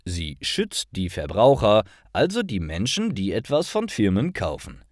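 A man reading a scripted story for language learners in a deliberate, expressive storytelling manner. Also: fairly low pitch, dry recording, clean studio sound. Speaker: narrator of stories for German learners. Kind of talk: scripted narration, slow read-aloud story for learners.

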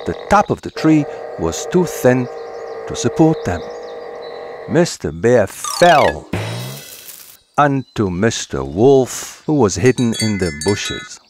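A man narrating an animated fairy tale in a measured, scripted storybook delivery. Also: mid-range voice, music in the background.

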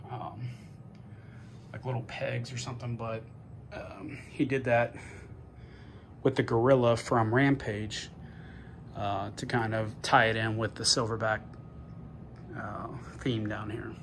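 A man casually narrating a walk-through tour of his basement game room, offhand and pausing often. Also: mid-range voice, flat delivery, a bit bright. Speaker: homeowner giving a video tour. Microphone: handheld phone, behind the camera. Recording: noisy indoor phone video.

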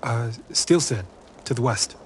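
A man's voice: medium pitch, expressive, a little slow, slight background noise, close to an everyday voice. An actor playing a desperate, submissive man serving a cult pastor in a game, tense and pleading. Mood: fearful. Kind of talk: dramatic game cutscene acting.

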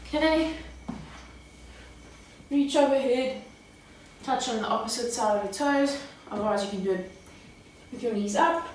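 A woman's voice: a little high, a little slow, long pauses. A young woman working as a fitness coach, giving step-by-step exercise instruction. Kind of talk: warm-up instruction with demonstration. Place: garage home gym. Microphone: camera a few metres away. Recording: echoey garage, distant mic, faint noise.

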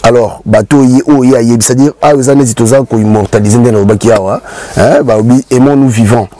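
A man in his thirties hosting a casual talk in a small indoor studio, speaking in a relaxed, halting way with many fillers. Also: mid-range voice, no room sound. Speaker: host.

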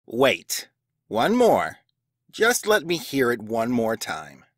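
A man's voice: mid-range voice, huge pitch swings, slow, no echo, studio quality, heavily acted. An actor playing a gleefully spiteful, smug male school official in an audio drama, with theatrical delivery.

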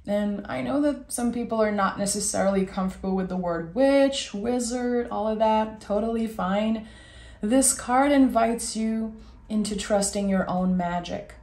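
A woman with a raspy voice giving a gentle, soothing tarot reading. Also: mid-range voice, a little slow, reverberant. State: raspy voice today. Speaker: tarot reader.

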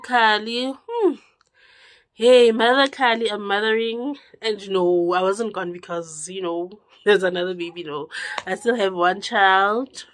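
A congested young woman chatting to camera in a small room, her voice rising and then dropping to a low murmur. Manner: chatty, with drawn-out words and sudden drops to a low murmur. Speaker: vlogger. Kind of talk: casual direct-to-camera chat.

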